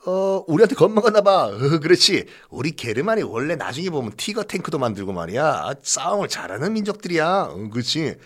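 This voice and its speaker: Man acting Germanic tribesman, cocky, swaggering, comic, lively pitch, history storytelling. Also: a little fast, hardly any pauses. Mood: smug mockery.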